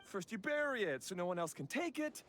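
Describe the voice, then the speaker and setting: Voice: medium pitch, big pitch swings, a little fast, a bit bright, slight background noise. An actor playing a flustered, hesitant bandit lackey in a video game, eager to explain everything. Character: nervous, eager-to-talk bandit lackey pleading for mercy.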